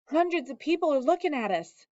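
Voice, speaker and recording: A fussy farm wife, played by a woman reader, nervous and quick to scold. Her voice rides high, and the recording is dull and lo-fi. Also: expressive, darkish tone, no room sound.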